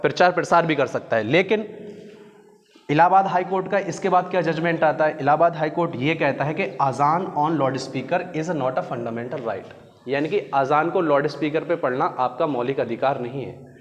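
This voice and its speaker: Indian guy teaching, slightly high voice, explains stuff step by step, long echoey reverb. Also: moderate pace, lo-fi sound. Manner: didactic, glosses terms in Hindi and English. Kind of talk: explanatory educational lecture.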